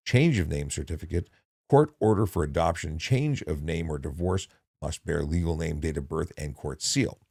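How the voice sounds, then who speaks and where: A man reading instructional material in a measured, deliberate way, with casual off-the-cuff asides. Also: low-pitched, creaky, darkish tone, dry recording, clean studio sound. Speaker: narrator reading a handbook with commentary.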